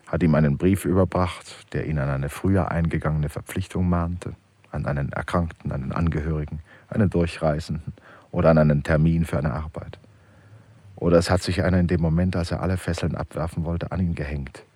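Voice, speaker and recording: An actor playing a grave, brooding male narrator in a literary radio play, speaking with measured intensity and a husky voice. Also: low-pitched, steady tone, mellow, dry recording.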